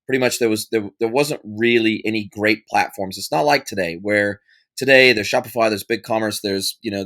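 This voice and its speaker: A man's voice: on the low side, a bit bright. A man in his forties with an American accent, a podcast guest and consultant telling an informal story with many restarts and fillers. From United States. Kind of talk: conversational interview answer.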